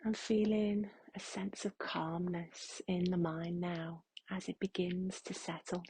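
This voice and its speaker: A woman leading a guided meditation in a soft, hushed, breathy voice, gently and with long unhurried pauses. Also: on the low side, level tone, no room sound.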